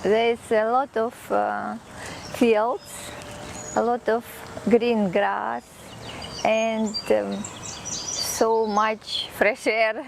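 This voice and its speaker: A Ukrainian-accented woman talks through her thoughts at a very slow, halting pace, pausing often between words. Her voice sits a little high and carries a gravelly fry. Faint outdoor ambience with birds is heard behind her. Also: little pitch movement, noisy background. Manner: slow, halting, with pauses and fillers. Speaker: interviewee. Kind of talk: reflective personal account.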